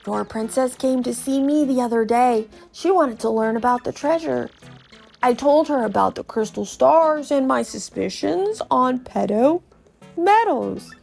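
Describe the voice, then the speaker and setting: A woman's voice: high voice, lively intonation, a little slow, gravelly, darkish tone, soft background music, exaggerated voice. A woman voicing a shriveled old male Goomba professor from a video game, explaining things in a fussy, cautious way. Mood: musing, curious. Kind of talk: video game character read aloud.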